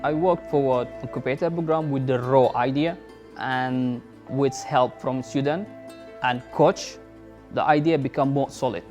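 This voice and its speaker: Man, startup founder, earnest, halting phrasing, mid-pitched voice, faint music behind. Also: fairly even intonation, darkish tone. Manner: earnest, searching for words. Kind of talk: personal story interview.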